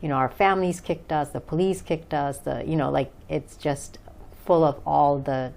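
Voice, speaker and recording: A woman's voice: sits low, fairly even intonation, normal speed, gravelly, darkish tone. A woman from Bangladesh answering conversationally as an interview guest, hesitant as she searches for words. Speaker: interview guest, author and English teacher.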